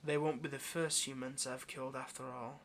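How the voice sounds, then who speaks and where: A man's voice: medium pitch, flat delivery, moderate pace, a bit bright, slight background noise, natural voice. A man playing a lonely, clingy yandere demon in an intimate audio roleplay, speaking tenderly and hesitantly straight to the listener with obsessive devotion. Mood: quietly menacing.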